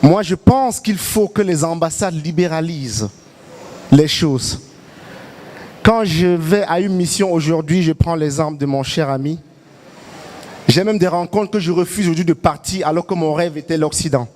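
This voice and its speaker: Activist guy talking at a panel, fairly high voice, emphatic and thoughtful. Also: normal speed, darkish tone, a little hiss or hum. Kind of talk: public debate remarks.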